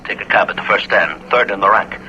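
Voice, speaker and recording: Sharp, brisk spymaster. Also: male voice, fairly low pitch, slight background noise. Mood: brisk, secretive. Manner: clipped, commanding. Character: brusque, secretive male British spymaster giving orders. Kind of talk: 1940s radio drama acting. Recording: tinny, muffled old radio recording.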